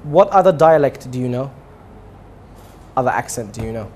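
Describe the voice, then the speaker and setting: A young male host trading easygoing banter in a studio, laughing often. His voice sits at an ordinary pitch with a faint hiss under it. He is recorded close on a lapel mic. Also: expressive, moderate pace, darkish tone. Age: young adult. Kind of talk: playful studio chat game. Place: studio set with lounge chairs.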